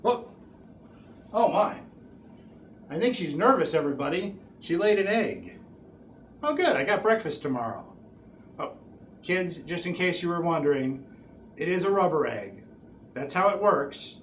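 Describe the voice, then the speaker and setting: A middle-aged man delivers showman patter as a juggling host. His voice sits a little high and his delivery is theatrical. The small bare room echoes strongly, with some noise behind him. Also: very animated, unhurried, pauses often, a bit bright. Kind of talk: showman stage patter to an audience.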